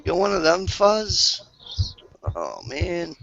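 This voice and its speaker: A man's voice: high voice, huge pitch swings, slow, gravelly, dull audio, background noise. A man hosting a casual online group chat from home, joking, laughing often and swearing freely. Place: at home, wife in the back room.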